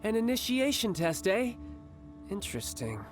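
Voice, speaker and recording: An actor playing a smooth-talking, smug young male fighter with theatrical politeness in an anime video game. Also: sits high, very animated, moderate pace. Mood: smug, composed. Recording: game music behind dialogue.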